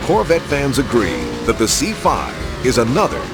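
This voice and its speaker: A man reading scripted promotional documentary narration in a polished, measured announcer style. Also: mid-range voice, wildly swooping pitch. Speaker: narrator of a racing game's car showcase. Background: music.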